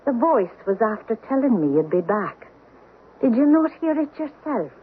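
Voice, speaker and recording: A woman's voice: a little high, big pitch swings, moderate pace, slightly rough. An actress playing a fey, insistent Irishwoman with an Irish accent in an eerie radio drama. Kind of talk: 1970s radio drama acting. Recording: muffled narrow old radio broadcast.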